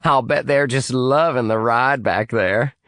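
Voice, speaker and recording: A man's voice: lowish voice, wildly swooping pitch, moderate pace, breathy edge, dry recording, studio quality, full character voice. A man voicing a gleeful, cruel young woman villain who barks at others. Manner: barking, gleeful.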